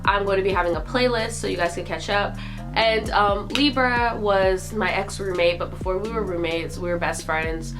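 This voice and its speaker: A woman's voice: medium pitch, normal speed, over music. Congested young woman telling a story. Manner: chatty, lots of fillers like "like". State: congested from a cold. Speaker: YouTuber. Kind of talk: storytime vlog.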